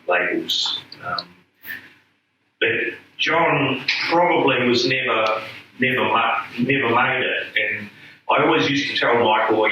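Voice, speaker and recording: A man from New Zealand, a painter, talking casually at a gallery event, self-deprecating and halting with many restarts. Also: lowish voice, pushed and bright, slight background noise. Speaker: painter guest. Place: gallery room. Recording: echoey room from far off.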